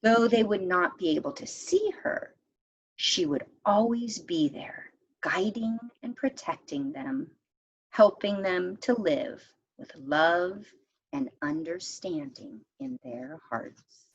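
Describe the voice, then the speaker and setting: A woman teacher reading a story aloud expressively, with deliberate dramatic pauses and hushed phrases. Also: medium pitch, lively intonation, husky, lo-fi sound.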